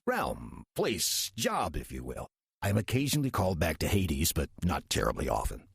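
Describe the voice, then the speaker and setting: An actor playing a weary, sardonic demon posing as a church deacon in an audio drama, dry, urbane and condescending. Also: male voice, wildly swooping pitch, normal speed, dry recording, full character voice. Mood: haughty, matter-of-fact. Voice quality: husky, low voice. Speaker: narrator and lead character of audio drama. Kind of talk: audio horror-comedy drama acting.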